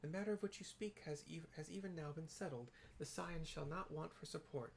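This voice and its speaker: A person reading game dialogue aloud as a formal, earnest fantasy character, with theatrical, archaic, deliberate delivery. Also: medium pitch, gravelly, a little hiss or hum, close to an everyday voice. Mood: gracious. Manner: theatrical, stately, deliberate wording.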